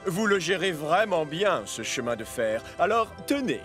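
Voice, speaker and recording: A fair-minded, dignified cartoon official. He speaks high for a male, with varied melody and faint music behind. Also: sing-song, normal speed. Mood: magnanimous.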